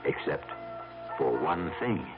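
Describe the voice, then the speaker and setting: A man with a gravelly voice hosting and narrating a radio mystery in a measured, ominous, dramatic scripted style. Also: rumbling low, expressive. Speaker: host and narrator. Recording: tinny old radio broadcast. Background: music.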